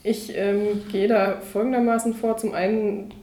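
Woman consultant, mellow and gravelly, explanatory, with a roomy echo. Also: mid-range voice, flat delivery, measured pace. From Berlin, Germany. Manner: explanatory, uses many fillers. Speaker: employer branding consultant. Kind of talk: interview answers.

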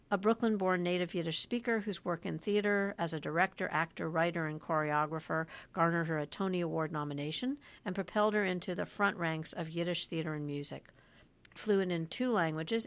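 A woman hosting a podcast, moving between scripted introduction and conversational interview questions. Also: on the low side.

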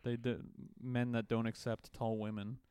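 A man in his twenties co-hosting a podcast, joking in a sarcastic, deadpan way. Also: medium pitch, moderate pace, clear, resonant tone, dry recording. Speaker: podcast co-host. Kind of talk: casual chat.

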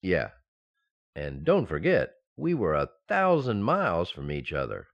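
Radio sitcom husband: male actor, eager and boyish, hugely swooping pitch, slightly muffled sound. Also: wildly swooping pitch, unhurried, vocal fry, heavily acted. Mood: relieved, eager. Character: eager, playful young husband and office employee. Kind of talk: old-time radio sitcom acting.

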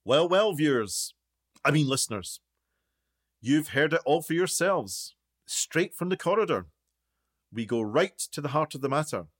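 A man's voice: fairly high pitch, lively intonation, measured pace, pauses often. A man playing a pompous, self-important documentary maker presenting his show in a radio play. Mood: grandiose. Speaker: show host.

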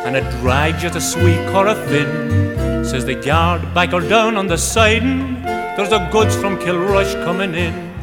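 A man's voice: high-pitched, unhurried, hardly any pauses. A man singing a lilting comic folk song on a played recording. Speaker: singer. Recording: reverberant old song recording with music.

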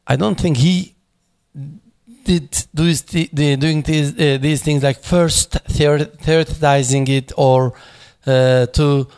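A man speaking at a memorial panel, halting and searching for words, with many fillers and restarts in English that is not his first language. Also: a little high, steady tone, a little mellow, clear, resonant tone. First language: not English; foreign accent in Turkish noted.

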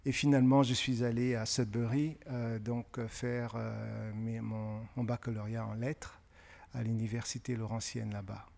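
A middle-aged man who grew up in francophone Africa, answering interview questions in a measured, thoughtful way with frequent pauses. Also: mid-range voice, level tone, creaky, darkish tone. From francophone West and Central Africa. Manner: measured, thoughtful, pausing often with hesitations. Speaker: guest author.

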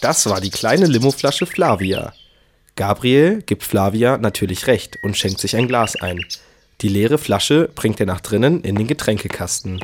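A man's voice: fairly low pitch, animated, measured pace, slight background noise. A man narrating a scripted children's story as the storyteller of a radio play.

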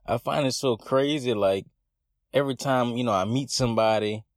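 A man's voice: mid-range voice, normal speed, no echo, studio quality. A young man from Southwest Louisiana with a Louisiana accent, ranting emphatically on a podcast in a drawling Southern way. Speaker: podcast co-host. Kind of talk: scripted rant segment.

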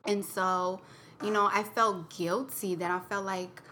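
A woman's voice: mid-range voice, little pitch movement, measured pace, sharp tone, slight background noise. A young woman co-hosting a podcast, sharing her own story in a candid, reflective way, with lots of fillers and "like". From Connecticut, US. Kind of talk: personal storytelling in a casual chat.

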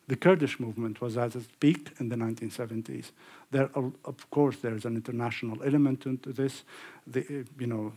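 Man lecturing, husky voice. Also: mid-range voice, a little mellow. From Iraq. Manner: conversational, with frequent fillers and restarts. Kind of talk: public lecture. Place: lecture hall.

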